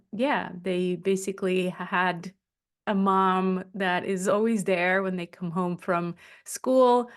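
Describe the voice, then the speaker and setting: Low-pitched middle-aged woman hosting a podcast. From Switzerland. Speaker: podcast host and business coach. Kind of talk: solo podcast talk to camera, partly scripted.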